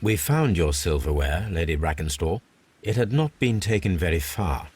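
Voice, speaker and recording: A man playing a keen, coolly analytical British gentleman detective in a video game, speaking precisely and formally. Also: deep voice, animated, normal speed, breathy edge. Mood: assured. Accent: British English. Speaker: video game lead character. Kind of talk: scripted game dialogue and musing aloud. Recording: background music under the voice.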